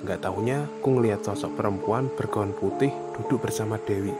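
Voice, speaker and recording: A man's voice: fairly low pitch, fairly quick, loud music behind the voice, lightly acted. A man voicing an anxious, protective young hiker in an Indonesian horror cartoon, speaking colloquially and earnestly with an Indonesian accent. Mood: serious, explaining. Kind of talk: animated horror story dialogue.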